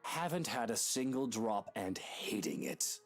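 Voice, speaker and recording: A woman's voice: extremely low pitch, lively intonation, measured pace, faint music behind, pitched below the performer's own voice, heavily acted. A woman voicing a cocky, defensive husband in a brash, dramatic way for a visual novel game. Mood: irritated. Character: cocky, defensive British husband, flustered young man.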